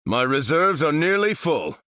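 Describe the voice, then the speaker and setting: An actor playing a bold, determined battlefield hero in a video game, rallying soldiers in a clipped military delivery. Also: male voice, fairly high pitch, very animated, unhurried, bright, forceful tone, no echo, clean studio sound, full character voice. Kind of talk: video game character voice lines.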